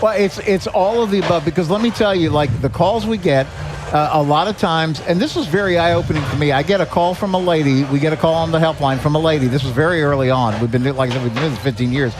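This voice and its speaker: A man's voice: a little high, quick pace. An older American man talking earnestly and conversationally as a podcast guest, telling anecdotes and acting out bits of dialogue. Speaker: guest, executive director of a senior help organization. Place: live podcast at a restaurant. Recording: music behind the talk. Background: music.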